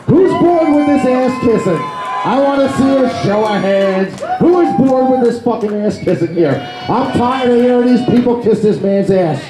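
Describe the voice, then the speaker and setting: A man shouting and swearing to hype up a live audience as he introduces the next roaster on a convention stage. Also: extremely high pitch, lively intonation, moderate pace, over music. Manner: shouting, profane crowd hyping. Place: convention stage before a crowd. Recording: echoey hall, crowd behind.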